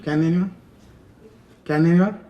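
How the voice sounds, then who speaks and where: A man's voice: a little high, fairly even intonation, a little slow, muffled recording. A man from Sri Lanka making spontaneous, hesitant remarks from the audience at an event. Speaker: audience speaker.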